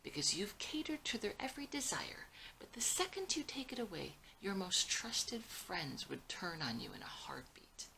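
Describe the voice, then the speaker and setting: A woman acts out an embittered villain son from an adventure script. The voice is sharp and forceful, the manner arrogant and contemptuous, with faint noise in the background. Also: medium pitch, very animated, normal speed, gravelly, few pauses, pitched above the performer's own voice, full character voice, rough recording. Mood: menacing, scornful.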